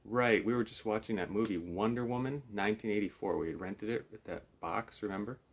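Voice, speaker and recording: A man's voice: on the low side, brisk. A man in his thirties hosting a puppet show with dry, teasing, casual banter, in a room at home. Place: small room at home, indoors.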